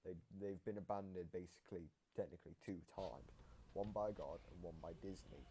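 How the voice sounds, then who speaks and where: Deep-voiced man rambling through casual commentary. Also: warm tone, slight background noise. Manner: rambling, halting explanations with repeated phrases. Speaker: reactor explaining game voice lines.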